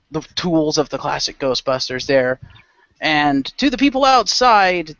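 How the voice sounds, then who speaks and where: A man's voice: on the high side, a bit bright, no room sound. A man narrating scenes as game master in an improvised role play. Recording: dry, dull lo-fi audio.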